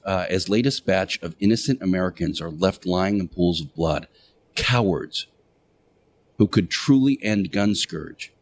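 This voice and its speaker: A man with a fairly low voice delivers a radio monologue in the manner of a preacher. He speaks deliberately in short, emphatic phrases, pausing between them and repeating key words for weight. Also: slight background noise. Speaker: radio show host playing Jesus in interactive radio theater.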